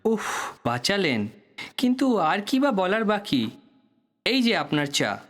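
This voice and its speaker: A man's voice: high-pitched, very animated, slow pace, fairly bright tone, exaggerated voice. A man playing a courteous, guarded and slightly weary doctor in an audio drama, explaining things in a professional manner. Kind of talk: Bengali audio drama acting.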